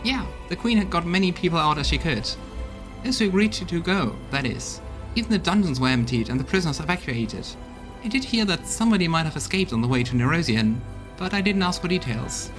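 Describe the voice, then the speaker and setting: A man's voice: fairly high pitch, lively intonation, brisk, fairly bright tone, faint music behind. Man voicing a blunt, folksy crusade soldier. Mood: urgent reporting. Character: rough-tongued loyal crusade soldier reporting bad news. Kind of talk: voiced fantasy role-playing game dialogue.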